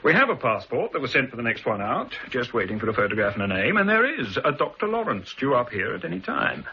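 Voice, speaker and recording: An actor playing a calm, methodical British intelligence officer in a 1960s radio drama, with a crisp, measured delivery. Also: male voice, mid-range voice. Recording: dull old broadcast recording with faint hiss.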